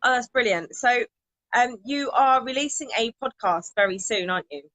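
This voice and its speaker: A woman's voice: fairly high pitch, animated, normal speed, fairly bright tone, dry recording, poor audio. Bubbly woman hosting a live stream, dry sound. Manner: bubbly, enthusiastic. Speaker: confidence coach, live-stream host. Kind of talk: live social media interview.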